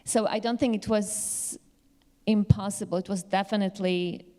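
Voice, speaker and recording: Woman, academic panelist fielding audience questions, explanatory and halting, mid-pitched voice. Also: fairly even intonation, warm tone. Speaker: panel speaker, Yiddish literature teacher. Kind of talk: live question-and-answer talk.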